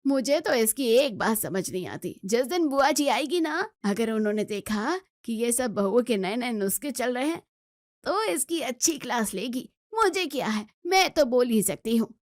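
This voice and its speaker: Woman acting a bossy, grumbling mother-in-law, high voice. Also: animated, normal speed, dry recording, studio quality, natural voice. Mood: dismissive. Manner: scolding, complaining. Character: bossy, grumbling middle-aged Indian mother-in-law, stubborn but secretly pleased.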